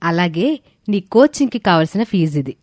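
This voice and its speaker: A woman's voice: fairly low pitch, big pitch swings, normal speed, darkish tone, no echo, clean studio sound. A woman playing a caring, encouraging teacher who explains things patiently and firmly in an audio story. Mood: instructive. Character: Bharathi, caring, encouraging female teacher and mentor. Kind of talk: scripted audio story dialogue.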